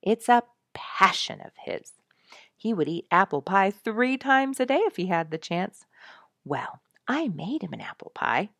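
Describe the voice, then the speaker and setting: A woman's voice: medium pitch, big pitch swings, measured pace, creaky, a bit bright, no room sound, studio quality, full character voice. A woman voicing a wry, playfully long-suffering mother in a storybook, teasing and mock-grumbling with emphasis.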